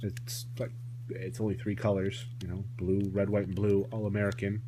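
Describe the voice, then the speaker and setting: A man's voice: fairly low pitch, level tone, normal speed. A man giving a casual, rambling hands-on toy review, often correcting himself as he goes. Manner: rambling, self-correcting asides. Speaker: toy collector reviewing a vintage toy. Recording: noisy home recording with electrical hum.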